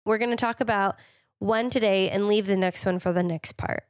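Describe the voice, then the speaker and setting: A woman chemist hosting a casual podcast, slightly nasal from a cold, upbeat and quick to laugh. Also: medium pitch, fairly even intonation, no room sound. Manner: laughs often, upbeat and chatty.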